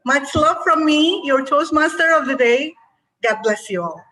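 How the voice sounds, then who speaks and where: A woman's voice: high-pitched, animated, moderate pace, pushed and bright, poor audio. A woman from Indonesia hosting an online club meeting over a video call, cheerful and encouraging. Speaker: meeting host, Toastmaster of the day.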